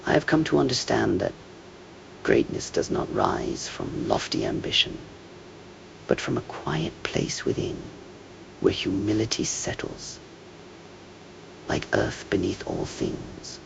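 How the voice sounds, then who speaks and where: Low creaky male voice reading a reflective monologue, hushed and contemplative, faint rumble behind. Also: wildly swooping pitch, low-quality recording. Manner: measured, contemplative. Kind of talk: slow meditative scripted monologue.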